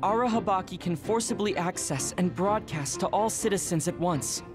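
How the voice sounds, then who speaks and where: An actor playing a thoughtful, analytical young male comrade in an anime game, speaking in a measured way. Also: sits high, lightly acted. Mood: measured, serious. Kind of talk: English-dubbed anime game acting. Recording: voice over loud music score.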